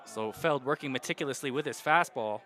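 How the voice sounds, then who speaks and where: A young man calling baseball play-by-play on radio, walking through counts and base runners in a steady flow. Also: mid-range voice, a little fast, soft background music. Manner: steady count-and-situation narration, rising loud on hits. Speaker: play-by-play announcer.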